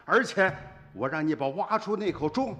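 A man's voice: high voice, lively intonation, normal speed, fairly bright tone, big-room sound. A man playing an earnest, suspicious village uncle in a radio drama, insistent and sighing. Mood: stern, accusing. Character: earnest, suspicious rural uncle telling the past to a grandchild. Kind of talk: Chinese radio drama acting and narration. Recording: music behind the voice.

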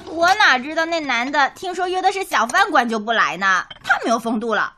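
Bubbly, high-pitched young woman, played by an actress. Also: big pitch swings, brisk, bright, forceful tone, a little hiss or hum, full character voice. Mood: grumbling. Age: young adult. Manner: chatty and impulsive. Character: bubbly, scatterbrained, outspoken young woman who loves food. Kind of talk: Chinese micro radio drama acting.

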